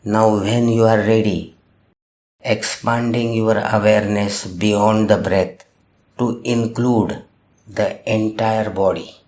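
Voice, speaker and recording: A man narrating a guided meditation, giving gentle instructions in short, measured phrases with long pauses between them. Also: fairly low pitch, flat delivery. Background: music.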